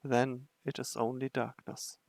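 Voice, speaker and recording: A man with a creaky voice doing game commentary and reading story narration aloud. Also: medium pitch, little pitch movement, a little slow, darkish tone, dry recording. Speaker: gamer narrating a playthrough. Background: faint music.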